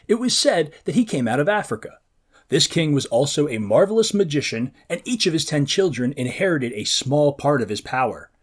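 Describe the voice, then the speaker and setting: Man, mid-pitched voice, highly varied intonation, read-aloud host, expressive, dramatic emphasis, addressing young readers. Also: husky. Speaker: host of a children's book preview show.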